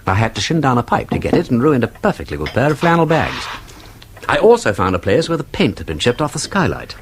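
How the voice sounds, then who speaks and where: An actor playing a witty, inquisitive gentleman sleuth in a radio drama, with an upper-class English accent and an urbane, argumentative, theatrical manner. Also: mid-range voice, wildly swooping pitch, fast, a little hiss or hum. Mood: keen, persistent. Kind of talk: British radio drama acting.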